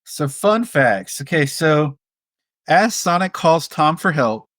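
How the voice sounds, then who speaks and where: A man co-hosting a casual film discussion, speaking with measured, tentative phrasing. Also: a little high, sing-song, a bit bright, dry recording, studio quality. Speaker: podcast co-host.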